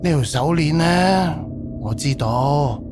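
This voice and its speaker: A man's voice: on the high side, lively intonation, slow. Man playing a wistful middle-aged park director, Cantonese, over music. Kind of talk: audio drama acting. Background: background music.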